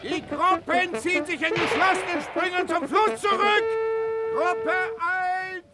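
Very high, shouting cavalry officer. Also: male voice, slow pace, over loud music. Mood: urgent commanding. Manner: shouted, commanding. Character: headstrong male cavalry officer shouting battle orders. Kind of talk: German radio play acting. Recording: loud battle noise and crowd clamour.

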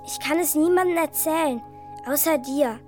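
A secretive little girl with a high, childlike voice confides in a hushed, clinging way, as an actress plays her. A radio drama voice with faint music under it. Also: moderate pace, lightly acted. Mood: confiding.

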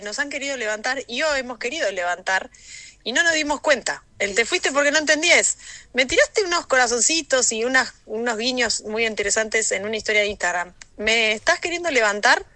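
A woman's voice: fairly high pitch, expressive, fairly quick, bright, forceful tone, no room sound. A middle-aged Argentine woman chatting casually and playfully in a voice message. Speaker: listener sending a voice message.

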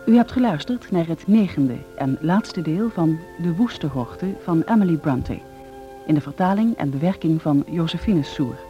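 Formal male announcer, raised pitch, dull sound. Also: lively intonation. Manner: formal, deliberate. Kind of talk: formal radio drama credits announcement. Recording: dull lo-fi radio recording, faint music.

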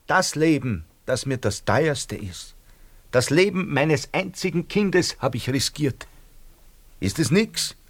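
An actor playing a middle-aged, self-pitying, fawning Austrian shopkeeper in a radio drama, rambling and theatrical with an Austrian accent. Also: male voice, a little high, expressive, slow, dry recording, exaggerated voice. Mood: insistent, wheedling.

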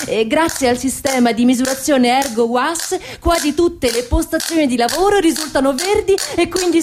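A woman playing an earnest, hesitant factory worker as a quiz contestant, explaining haltingly in a satirical radio play. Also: high voice, noisy background, natural voice.

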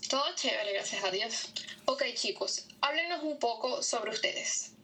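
Woman interviewer, higher voice, slightly distant. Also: unhurried, bright, forceful tone, slight background noise. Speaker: radio host interviewing a band. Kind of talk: casual interview.

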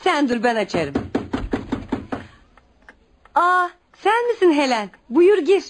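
Poor religious widow mom in a radio drama, super expressive, protective n earnest, kinda anxious. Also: female voice, high voice, slow, noisy background, full character voice. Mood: sociable, curious. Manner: earnest, instructive. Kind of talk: radio theater acting.

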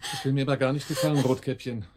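An actor in a German radio drama playing a cool, displeased gang boss with a sardonic, questioning manner. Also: male voice, mid-range voice, steady tone, racing, darkish tone, close to an everyday voice. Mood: coolly displeased.

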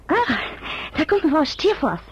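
An actress playing a cold, haughty gentlewoman in a Dutch radio drama, speaking formally with a Netherlands accent. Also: sits high, big pitch swings, measured pace, slight background noise. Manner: curt, haughty, clipped and formal. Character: cold, imperious young gentlewoman. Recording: muffled old radio drama recording.

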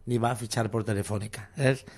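A man's voice: lowish voice, fairly even intonation, fairly quick, gravelly, a little mellow. A man speaking as a comedian guest on a casual comedy talk show, making wry asides with chuckles and restarting his sentences. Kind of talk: casual comedy radio chat.

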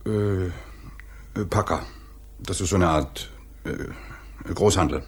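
An actor in his thirties playing a guarded, evasive working man under questioning in a radio play, hesitant and subdued, with a breathy husky voice. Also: low voice, takes it slowly, heavily acted. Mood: wary. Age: 30s. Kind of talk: 1980s German radio play acting.

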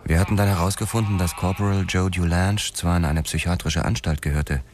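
A man's voice: sits low, steady tone, a little fast, a little mellow, a little hiss or hum, natural voice. An actor playing a hard-boiled police detective, narrating his case in a terse, sober radio drama style. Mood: grim.

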